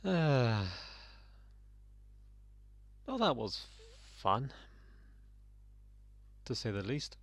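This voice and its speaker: A young man, slightly croaky, giving sarcastic, sweary commentary while playing a game. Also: mid-range voice, sing-song, very slow, long pauses, no echo. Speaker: let's play gamer. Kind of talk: casual gameplay commentary.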